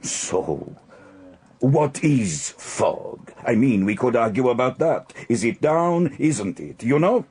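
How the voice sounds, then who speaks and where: An actor playing a wary, scornful gambler who is owed money in a radio drama, cool, guarded and taunting. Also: male voice, mid-range voice, sing-song, slow, a little mellow, breathy edge, full character voice. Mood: amused, wary. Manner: taunting, guarded, repeats questions back. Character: wary, scornful male gambling creditor, coolly unhurried.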